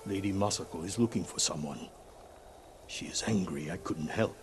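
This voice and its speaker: An actor playing a humble village holy man in a game, speaking gently and with respectful deference. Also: sits low, normal speed, close to an everyday voice. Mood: uneasy. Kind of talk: dramatic game acting. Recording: clear background noise, outdoor ambience.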